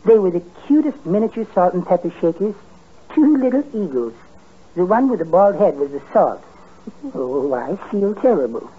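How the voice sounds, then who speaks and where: An actor playing a meek, timid, apologetic little henpecked man, softly and mildly, in 1950s radio comedy. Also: high voice, big pitch swings, normal speed, slightly rough, exaggerated voice. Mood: flustered, apologetic. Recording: muffled tinny 1950s radio recording.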